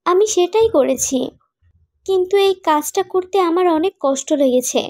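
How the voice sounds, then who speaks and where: A woman acts a loyal, inquisitive young female friend in a cartoon love story. The voice is high and close, very dry. The delivery is questioning and gently reproachful. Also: normal speed, no room sound. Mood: earnest, reproachful. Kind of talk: animated romantic drama dialogue.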